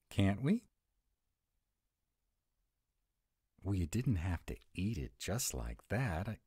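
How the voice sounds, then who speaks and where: A man playing a gruff, grumbling tsundere were-dragon who is secretly soft, in an audio roleplay addressed to the listener. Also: fairly low pitch, no echo, clean studio sound, full character voice. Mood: indignant, wounded. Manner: grumbling, exasperated, softening into boyish eagerness.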